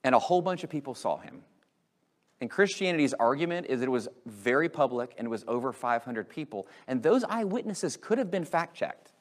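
A man with an American accent preaching an Easter sermon as a pastor, emphatic and full of rhetorical questions, swinging between loud and hushed delivery. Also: mid-range voice, very animated, brisk. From United States.

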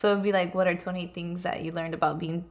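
A woman's voice: on the low side, steady tone, fast talker. Slangy, playful woman in her twenties. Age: 20s. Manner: slangy and playful, insistent when arguing. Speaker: podcast co-host. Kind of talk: casual chat.

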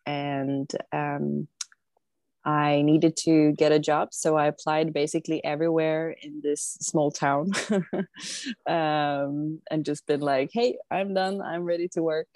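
A woman from southern Sweden, a podcast guest talking casually from home over an online call, thoughtful and unhurried, often pausing to think. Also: deep voice, fairly even intonation, a little mellow, no room sound. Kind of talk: casual conversational interview. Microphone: remote online call.

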